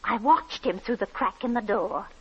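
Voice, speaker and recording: A woman's voice: on the high side, lively intonation, moderate pace, slightly rough. An actress playing a flustered middle-aged landlady in a 1940s radio drama, with a breathless, dramatic delivery. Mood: uneasy, pitying. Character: anxious, flustered working-class landlady and mother, middle-aged. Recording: dull 1940s radio recording with music.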